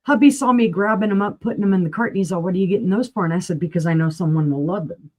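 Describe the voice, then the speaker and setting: A woman running a live auction sale, chatty and enthusiastic, with a voice that is going hoarse. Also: fairly low pitch, racing, a little mellow. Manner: chatty, enthusiastic, rambling asides. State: losing her voice, clearing throat.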